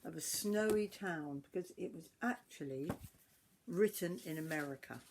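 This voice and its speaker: A woman's voice: on the low side, normal speed, noisy background. An older woman with a British accent, a grandmother reading a Christmas story expressively to children.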